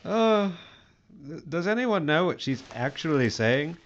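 An actor playing a pompous, self-absorbed boss in an audio drama, grandiose and breezily dismissive. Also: male voice, a little high, very animated, measured pace, highs cut off, noisy background. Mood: jovial, dismissive.

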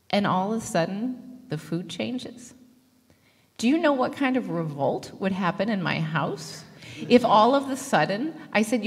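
A woman in her thirties preaching a sermon into a handheld mic, lively and joking, gesturing as she talks. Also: a little high. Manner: lively, gestures broadly, jokes and laughs with the congregation. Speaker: pastor. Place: church sanctuary. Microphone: handheld microphone. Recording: long reverb of a church hall.

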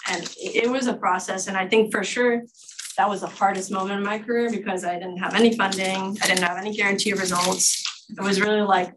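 A woman's voice: medium pitch, steady tone, a little fast, background noise. A Belgian woman, an Olympic triathlete, speaking as a guest and answering questions in a casual, chatty way full of "like". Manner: chatty, filler-heavy, many "like"s.

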